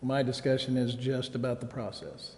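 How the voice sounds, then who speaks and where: A man speaks as a council member in a meeting, asking about process. His delivery stays flat, and the room adds a long reverb. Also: medium pitch, moderate pace. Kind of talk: meeting discussion, questioning. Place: indoor council chamber.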